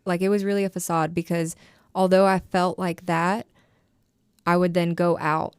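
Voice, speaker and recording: Podcast guest, woman in her 30s, candid and rambling, low-pitched with a smooth, dry sound. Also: flat delivery, moderate pace, no echo. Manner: frank, rambling, heavy use of filler "like". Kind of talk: candid personal storytelling.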